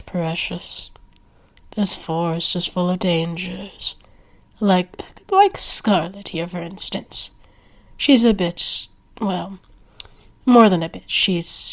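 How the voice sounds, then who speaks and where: A woman voicing a flirty, smug and protective female werewolf in an ASMR roleplay, talking in a teasing, taunting way. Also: fairly low pitch.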